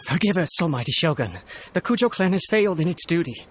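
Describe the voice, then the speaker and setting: An actor playing a nervous, loyal young retainer in a video game, polite, apologetic and hesitant. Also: male voice, a little high, animated, fast, close to an everyday voice. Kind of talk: video game character acting. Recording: music playing behind.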